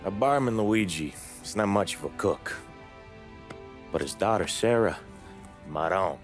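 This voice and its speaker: Low-pitched welcoming mafia man. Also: expressive, a little slow, music in the background. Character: welcoming male member of a mafia crew. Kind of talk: video game cutscene acting.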